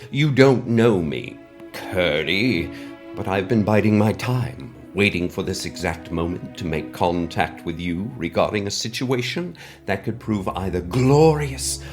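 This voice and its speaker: A man playing a pompous, mysterious benefactor who delivers a wordy, theatrical comic monologue with dramatic pauses. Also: on the low side. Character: mysterious pompous anonymous benefactor, male, speaking on cassette.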